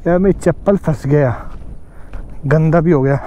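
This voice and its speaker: A man from Delhi with a slightly high voice, narrating his travel vlog in a relaxed, chatty way. He talks into an action camera mounted on his motorbike outdoors. The engine, traffic and wind are clearly heard behind him. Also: a little slow. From Delhi, North India. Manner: relaxed, chatty, pointing out the view. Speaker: travel vlogger. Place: outdoors, on a motorbike and on a hilltop by the sea. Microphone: action camera, helmet and selfie mount.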